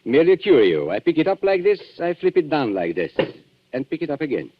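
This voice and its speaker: A suave villain of a 1940s radio thriller, who swoops up and down in pitch as he mocks his victims with elaborate courtesy. The recording is old and muffled. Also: wildly swooping pitch, fast. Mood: oily politeness. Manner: smooth, mocking, theatrically polite. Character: suave, sneering male blackmailer posing as antique dealer.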